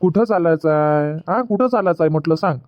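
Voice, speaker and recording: A man's voice: a little high, fairly quick, lo-fi sound, lightly acted. A man voicing an easygoing young husband in a comedy cartoon, who reassures his wife and keeps finding excuses. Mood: coaxing. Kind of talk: Marathi comedy cartoon dub.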